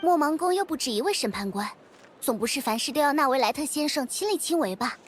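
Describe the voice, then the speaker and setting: A woman's voice: sits high, lively intonation, fast talker, a little hiss or hum. A woman playing a young, eager and polite newspaper reporter in a video game, chatty and bubbly. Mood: explaining.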